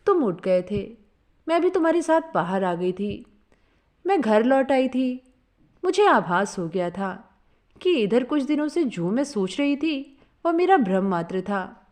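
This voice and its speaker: A woman reads a sentimental story in the first person. Her voice sits fairly high and moves up and down a great deal, giving the narration an expressive, storytelling feel. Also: unhurried.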